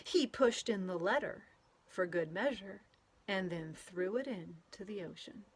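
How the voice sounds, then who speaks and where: A woman reads a children's storybook aloud with a very lively, swooping delivery. She takes her time, stretching words out expressively for young listeners. Her medium-pitched voice gives playful weight to the silly words. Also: measured pace, husky. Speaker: storybook read-aloud reader. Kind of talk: animated children's picture-book read-aloud.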